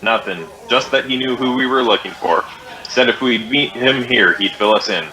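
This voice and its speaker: A man's voice: medium pitch, normal speed, sharp tone, close to an everyday voice, rough recording. An American man playing a terse, businesslike police detective in a clipped, matter-of-fact 1950s radio drama style. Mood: dry, businesslike. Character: terse, businesslike male police detective, narrating his case.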